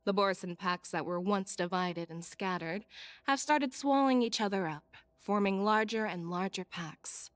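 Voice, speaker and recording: An actress playing a frank, assured woman general in a video game, shrewd and wryly amused, speaking in measured formal lines. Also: mid-range voice, lively intonation, no echo, close to an everyday voice. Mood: serious. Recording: game dialogue over background music.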